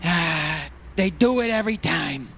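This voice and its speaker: A man's voice: on the high side, animated, a little slow, vocal fry, bright, forceful tone, a little hiss or hum, full character voice. A man playing a wry, teasing insurance investigator in an old-time radio detective play. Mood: needling, wry. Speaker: lead actor in a radio play.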